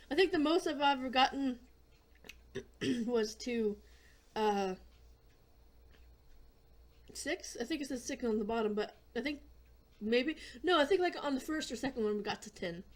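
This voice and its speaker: A hoarse woman hosting a casual gaming video, chatting in a rambling way and often clearing her throat. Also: high voice. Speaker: gaming channel host.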